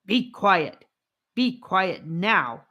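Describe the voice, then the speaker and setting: A woman's voice: low voice, expressive, a little slow, a bit bright, muffled recording, exaggerated voice. A woman voicing an aggressive captor who scolds the children sternly. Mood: scolding.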